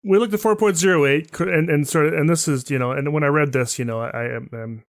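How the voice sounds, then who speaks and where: Halting male podcast host, dry sound. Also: fairly high pitch, no room sound. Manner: halting, with many restarts and short acknowledgements. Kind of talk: casual interview conversation.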